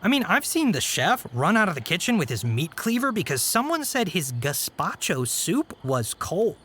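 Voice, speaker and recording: A hapless young male vampire suitor played by an actor from a comedy horror audio drama. The voice swings widely in pitch, coaxing and scheming with theatrical flourish. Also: very animated, normal speed, a bit bright, breathy edge. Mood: doubtful.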